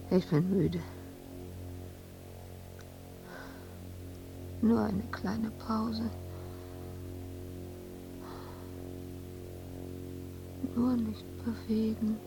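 An actress playing an anguished, exhausted, obsessive woman sculptor in a radio drama monologue, speaking in halting fragments that often sink into breathy whispers. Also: on the high side, low-quality recording. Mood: weary, hushed.

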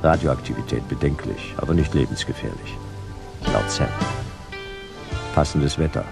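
A man playing a world-weary private detective in a noir sci-fi radio play, terse, dry and deadpan. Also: extremely low pitch, normal speed, creaky, music in the background. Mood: brooding narration. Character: world-weary, laconic hard-boiled male private detective.

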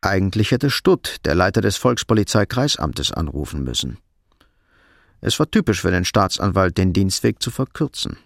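A man narrating a radio drama in a sober, measured, literary storytelling style. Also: deep voice, sing-song, vocal fry, no room sound, studio quality.

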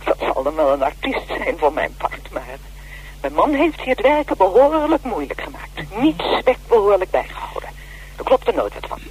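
A low-voiced, halting, wary widow. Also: female voice, sing-song, darkish tone, slightly rough. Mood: aggrieved. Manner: hesitant, halting, defensive. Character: wary, aggrieved widow of a theatre bookkeeper. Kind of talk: naturalistic radio play acting. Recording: noisy old radio broadcast with hum.

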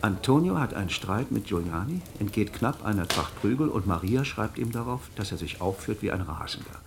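A shrewd magistrate in a radio drama, speaking in a fairly low voice. He builds his case with theatrical, rhetorical flourishes. His manner is insinuating, mocking and relentless. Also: animated, fairly quick, creaky, background noise. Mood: probing, ironic. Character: shrewd male magistrate methodically cornering a suspect, mocking and relentless. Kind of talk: German radio play acting.

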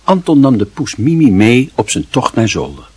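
A man narrating a literary radio drama in a solemn, measured and expressive manner. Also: mid-range voice, slightly rough, no echo.

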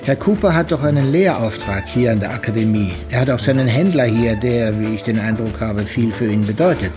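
Middle-aged male actor as inspector for radio crime play: mid-pitched, courteous, dryly ironic, probing, with music under him. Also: expressive, natural voice. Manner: polite, measured, gently ironic. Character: senior police inspector, courteous, wry and probing. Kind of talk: German radio play acting.

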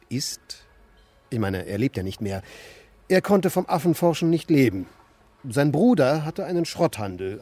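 An actor playing an idle, melancholy married man in a radio play, speaking in deliberate, formal sentences with a deadpan manner. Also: on the high side, heavily acted. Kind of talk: German radio play acting and narration.

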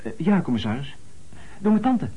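An actor playing a sporty, obliging but vague local witness in a Dutch radio play, husky-voiced, informal and hesitant. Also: male voice, fairly high pitch, very animated, moderate pace, narrow, tinny audio. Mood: chatty, frank.

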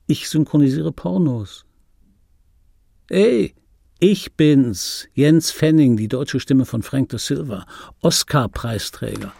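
An actor playing a disgruntled, wry middle-aged dubbing artist who tells his life in a rough voice, as a conversational radio play monologue. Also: mid-range voice, animated, takes it slowly, warm tone, no echo, studio quality, exaggerated voice. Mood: indignant. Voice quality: rough, gravelly. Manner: sardonic, conversational storytelling. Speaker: narrator and lead of a radio play.

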